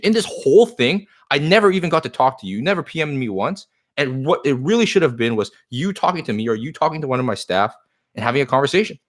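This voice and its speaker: A man whose first language is Chinese, owner of a card shop, talking earnestly on a live stream and answering viewer comments in a rambling way, often repeating himself. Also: mid-range voice.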